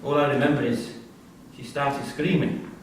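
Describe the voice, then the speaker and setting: A man's voice: mid-range voice, steady tone, normal speed, natural voice. A man playing a blunt, colloquial prisoner, a cocky yet brooding killer, in a staged drama reading. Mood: brooding, subdued. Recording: very echoey hall, distant mic, hiss.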